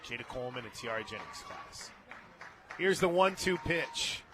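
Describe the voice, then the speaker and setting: An American man doing live radio play-by-play of a softball game, chatting between pitches. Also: sing-song, measured pace, over music, crowd noise. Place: stadium radio booth, open to outdoor noise. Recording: clear outdoor ballpark noise.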